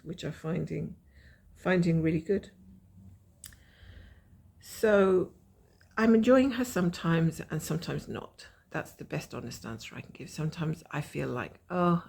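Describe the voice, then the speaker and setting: Croaky woman chatting about stitching. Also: lowish voice, a little mellow. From Scotland. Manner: rambling, chatty, self-mocking. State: croaky from a cold. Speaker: cross-stitch vlogger. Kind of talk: casual chat showing stitching progress.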